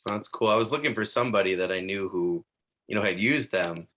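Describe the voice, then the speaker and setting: A man's voice: on the low side, a little fast, a bit bright, slight background noise. A man hosting a podcast interview, enthusiastic and laughing often in casual chat. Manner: enthusiastic, laughs often, says "super cool". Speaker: podcast host.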